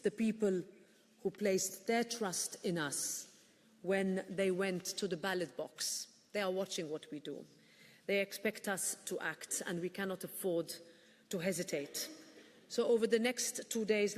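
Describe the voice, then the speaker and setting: A woman presiding over a parliament, giving an earnest, measured formal speech with occasional fillers. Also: mid-range voice, a little mellow, long reverb tail. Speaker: parliament president opening a session. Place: large parliament chamber.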